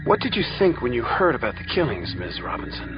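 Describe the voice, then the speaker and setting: A man playing a male trial lawyer who questions a witness in a measured, formal way. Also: mid-range voice, very animated, dull audio, music in the background, natural voice. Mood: probing, controlled.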